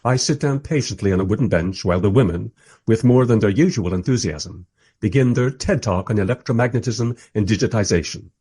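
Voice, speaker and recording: A man playing a wry documentary maker, narrating a radio play in the first person with expressive, husky delivery. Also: on the low side, brisk, no echo. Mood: observant, wry. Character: wry, enthusiastic documentary maker narrating his historical encounters.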